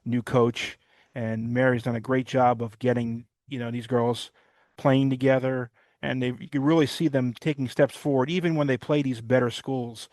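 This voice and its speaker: A man hosting a college sports podcast, recapping games in a rambling way with many pauses. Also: medium pitch, steady tone, a little mellow, no room sound. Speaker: podcast host. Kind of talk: casual sports recap chat.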